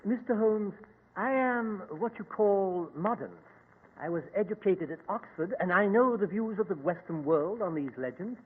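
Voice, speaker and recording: An educated Egyptian dignitary in a vintage radio mystery, formal and apprehensive. His husky, high-set voice moves with lively emphasis, through a tinny, echoing old recording. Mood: earnest, formal. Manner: formal, deliberate. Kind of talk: old-time radio drama acting.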